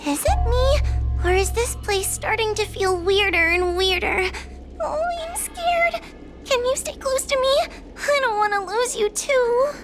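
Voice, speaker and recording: Very high-pitched, timid little girl. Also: animated, unhurried, music in the background. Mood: scared, pleading. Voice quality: small, childlike, thin voice. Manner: anxious, stammering, pleading. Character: timid, easily frightened young girl on official ghost-hunting duty. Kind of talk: anime-style video game voice acting.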